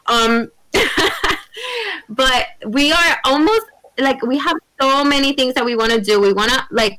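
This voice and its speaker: A woman's voice: mid-range voice, a bit bright, low-quality recording. A woman podcast co-host talking over a video call, upbeat and rambling with many likes. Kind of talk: casual remote interview chat.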